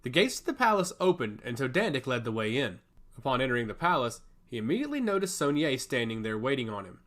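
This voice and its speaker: A man's voice: mid-range voice, very animated, quick pace, a bit bright. A man reading scripted fantasy narration with dramatic, emphatic storytelling delivery.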